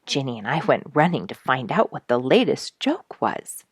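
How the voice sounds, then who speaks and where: A woman reading a story aloud as host, in an expressive scripted storybook style with emphatic, dramatic stresses. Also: rumbling low, normal speed, breathy edge, no room sound, studio quality. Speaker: story reader and show host.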